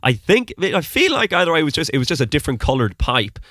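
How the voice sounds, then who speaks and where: A man's voice: fairly high pitch, big pitch swings, quick pace, fairly bright tone, no room sound, studio quality. Irish man with a neutral, American-tinged accent. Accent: Irish with American-sounding notes. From Ireland, Mullingar, Westmeath. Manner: rambling, many ums and restarts. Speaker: podcast host being interviewed. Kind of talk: casual conversational interview.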